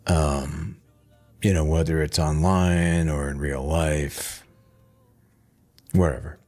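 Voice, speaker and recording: A man's voice: rumbling low, fairly even intonation, slow, a little mellow. A man giving a reflective, conversational monologue in a hesitant, musing, understated way. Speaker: video essayist.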